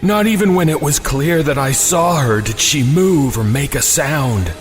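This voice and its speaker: A man reading a scripted first-person horror story in a suspenseful, dramatic way with deliberate pauses. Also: on the high side. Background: music.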